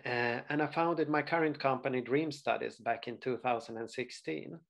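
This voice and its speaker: A man in his forties from Sweden with a Swedish accent, presenting a study abroad webinar in an earnest way, often pausing with uh and restarting words. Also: mid-range voice, creaky. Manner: earnest, frequent uh fillers and restarts. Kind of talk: webinar presentation over slides.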